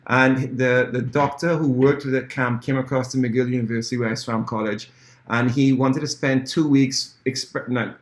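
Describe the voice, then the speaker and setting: A man's voice: medium pitch, steady tone, normal speed, roomy sound. A man in his forties from Trinidad and Tobago, co-hosting a casual chat and telling stories from his swimming days. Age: 40s. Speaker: podcast co-host, former swimmer.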